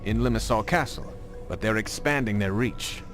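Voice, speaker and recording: A man's voice: fairly low pitch, moderate pace, fairly bright tone, faint music behind, close to an everyday voice. A man voicing a shrewd, guarded rebel contact in a video game cutscene. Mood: guarded, businesslike. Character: wary, shrewd male rebel contact, helpful to assassins. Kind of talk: scripted game cutscene acting.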